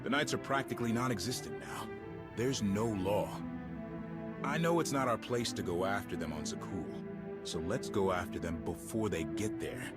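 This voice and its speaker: A man plays an earnest rebel officer in a video game. His low voice is plainspoken and determined, with a streetwise edge. Loud music runs underneath. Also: normal speed, lightly acted.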